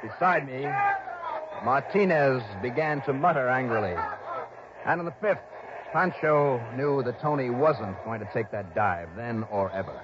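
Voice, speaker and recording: A man's voice: medium pitch, animated, a little slow, close to an everyday voice. An actor playing a tough, earnest investigator with an American accent, narrating his case in clipped, intense 1960s radio style. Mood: tense, building. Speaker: star and narrator. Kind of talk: dramatic first-person 1960s radio narration. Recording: noisy, muffled old radio recording.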